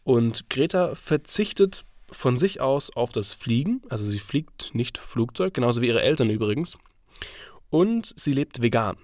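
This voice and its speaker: A man's voice: mid-range voice, expressive, measured pace, a little mellow, dry recording, studio quality. A man with a cold and a congested, stuffy voice hosts his own podcast, talking casually and thinking aloud with fillers. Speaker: podcast host. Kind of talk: solo podcast commentary.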